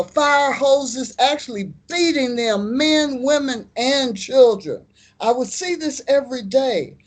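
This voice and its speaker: An American woman in her seventies, an artist and community activist, answering interview questions in an unhurried, storytelling way with firm declarations. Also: sits high. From United States. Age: 70s.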